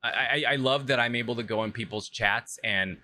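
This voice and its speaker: A young man talking as a guest on a casual streamed chat show, animated and putting on quoted voices. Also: mid-range voice, brisk. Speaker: podcast guest. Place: home stream room.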